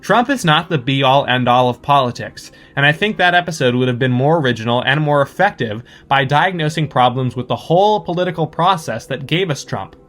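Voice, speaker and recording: A man's voice: mid-range voice, expressive, a little fast, few pauses, fairly bright tone. A man giving articulate, scripted commentary as a listener sending in his thoughts.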